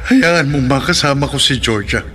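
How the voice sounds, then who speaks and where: A man's voice: medium pitch, lively intonation, normal speed, lightly acted. A man playing a torn, earnest husband in a melodramatic horror radio drama, with a Filipino accent. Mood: pleading, earnest. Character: torn, earnest husband caught between two women. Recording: dramatic music behind the voice.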